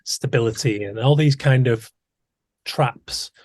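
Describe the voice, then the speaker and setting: A man's voice: mid-range voice, slow, a little mellow, a little hiss or hum. A man hosting an interview podcast, talking in a thoughtful, musing way with frequent restarts. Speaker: podcast host. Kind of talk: conversational interview.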